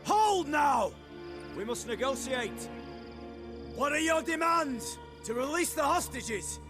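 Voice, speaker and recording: An embattled, very high-pitched guard captain. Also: male voice, animated, slow pace, heavily acted. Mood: urgent, commanding. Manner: formal, emphatic. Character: embattled male guard captain, commanding yet anxious negotiator. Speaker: character actor in a fantasy video game. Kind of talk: cinematic game dialogue. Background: music.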